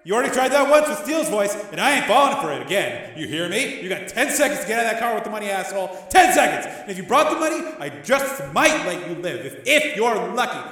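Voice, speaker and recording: A man voicing a hot-headed, foul-mouthed gangster's son in an audiobook, suspicious, menacing and yelling. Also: big pitch swings, quick pace, hardly any pauses, heavy reverb, big-room sound. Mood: defiant. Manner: yelling, taunting, high-strung.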